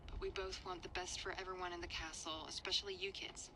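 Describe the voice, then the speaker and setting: An actress playing a protective adult caretaker, talking to children in a soothing, gently firm way. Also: female voice, lowish voice, level tone, fast, background noise, lightly acted. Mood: gentle, reassuring. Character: protective, reassuring adult woman caring for children. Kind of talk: dramatic game audio acting.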